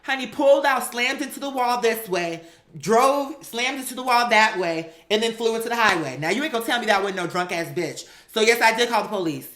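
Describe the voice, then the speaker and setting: A woman's voice: on the high side, expressive, fairly quick, fairly bright tone. A woman chatting casually and telling gossipy stories in a sassy, profane, emphatic and dramatic manner. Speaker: online talk show host. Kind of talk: casual gossip commentary, storytelling.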